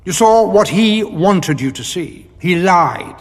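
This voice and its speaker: Casting: imperious alien sorcerer, higher-pitched, cold, contemptuous, formal, long reverb, music underneath. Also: male voice, expressive, full character voice. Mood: scornful. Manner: haughty, measured, formal speech. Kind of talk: audio drama acting.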